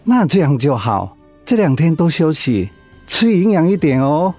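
An actor playing a calm, matter-of-fact cancer doctor in a radio drama, measured and clinical but reassuring. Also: male voice, a little high, soft background music.

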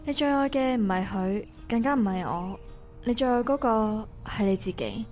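A soft-toned, mellow female voice, fairly high, with music behind it. A woman plays a composed first love in a Cantonese radio drama, probing and quietly wounded, in conversational Cantonese-accented speech. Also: lively intonation, moderate pace, lightly acted. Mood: cool, resolved. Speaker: voice actor playing the first love. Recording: music under the dialogue.

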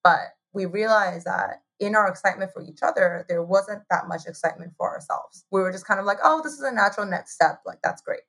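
A woman's voice: medium pitch, brisk, creaky, hardly any pauses, fairly bright tone. A woman in her thirties from Taiwan, telling her story as an interview guest in a chatty way, laughing often and filling with "like". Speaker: guest, hot sauce co-founder.

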